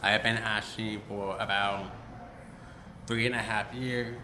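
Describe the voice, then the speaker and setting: Twenties male actor, halting answers, echoey room, faint background hum. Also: lowish voice, flat delivery, pushed and bright. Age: 20s. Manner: halting, asks questions to be repeated. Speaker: actor interviewed. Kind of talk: casual interview answers.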